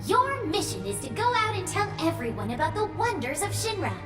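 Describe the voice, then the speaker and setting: An actress playing an upbeat corporate tour announcer in a video game, with bubbly, scripted cheer. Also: female voice, very high voice, sing-song, brisk, reverberant, over music. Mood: cheerful.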